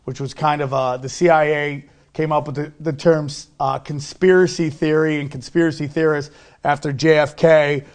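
Man, middle-aged, slightly high pitch, casual interview talk, emphatic storyteller, podcast guest. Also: level tone, normal speed.

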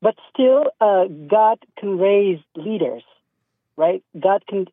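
A man's voice: sits high, lively intonation, slow pace, no room sound. A man from East Africa calling in to a radio show, speaking hesitantly with many fillers and restarts. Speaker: caller. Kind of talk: call-in radio conversation. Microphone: phone. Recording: tinny phone line.

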